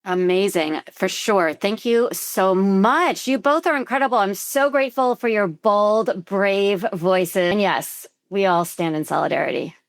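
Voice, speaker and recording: A woman's voice: mid-range voice, a little slow, hardly any pauses, no echo, studio quality. A woman co-hosting a podcast, effusive and grateful toward her guests. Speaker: podcast co-host. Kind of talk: podcast interview.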